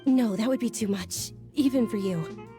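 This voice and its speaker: An actress voicing a gentle, devout young noblewoman in a video game, speaking softly, earnestly and politely. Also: on the high side, moderate pace, close to an everyday voice. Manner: soft-spoken, earnest, polite. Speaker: noble lady. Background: game soundtrack music.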